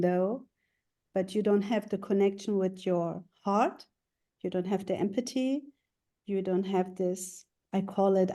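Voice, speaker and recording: A woman's voice: mid-range voice, warm tone. A woman in her thirties from Germany, a life coach answering interview questions in a hesitant way, with many fillers and restarts.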